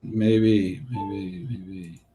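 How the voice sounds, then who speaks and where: A man's voice: low-pitched, fairly even intonation, extremely slow, mellow, a little hiss or hum. A man with a Southern US accent hosting a study group, walking through a coding lab while thinking aloud. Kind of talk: live coding walkthrough.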